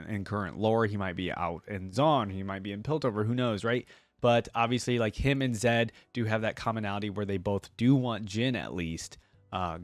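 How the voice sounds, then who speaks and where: Low-voiced man chatting casually, dry sound. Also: no room sound. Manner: informal, rambling, thinking aloud. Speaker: gaming reaction YouTuber, host. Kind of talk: casual chat, commentary to viewers.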